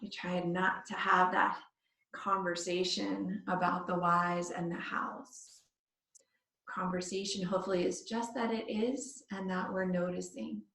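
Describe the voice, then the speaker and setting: A woman yoga teacher leading a guided body scan meditation, speaking in short phrases with pauses between them. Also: lowish voice, flat delivery, fairly bright tone. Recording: echoey room, distant microphone.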